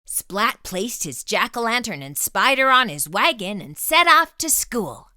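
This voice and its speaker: A woman reading a children's book aloud as a storytime host, with expressive, dramatic storytelling. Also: on the high side, measured pace, dry recording, clean studio sound.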